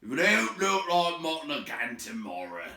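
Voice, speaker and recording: A man voicing hungry, coarse trolls who grumble loudly in rustic slang for a storybook. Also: fairly high pitch, sing-song, normal speed, vocal fry, roomy sound, pitched above the performer's own voice, full character voice. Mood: disgruntled. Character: grumbling, hungry, coarse male troll complaining about food.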